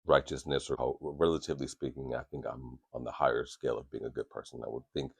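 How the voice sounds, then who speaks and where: Very deep husky man, candid and rambling. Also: steady tone, fast talker, no room sound. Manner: candid, profane and rambling, with many restarts. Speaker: podcast host. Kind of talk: casual podcast talk.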